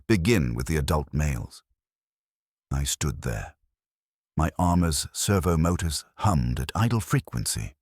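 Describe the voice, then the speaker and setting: A very deep-voiced man reads a scripted dramatic story. His delivery is solemn, measured and clipped, yet his pitch moves in a lively way. The sound is dry and close. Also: breathy edge, no room sound. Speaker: narrator. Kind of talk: scripted first-person dramatic story narration.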